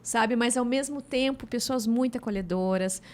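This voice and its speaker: A woman's voice: on the high side, normal speed, darkish tone. A woman hosting a podcast interview, encouraging her guest with frequent agreement. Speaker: podcast host. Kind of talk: casual interview.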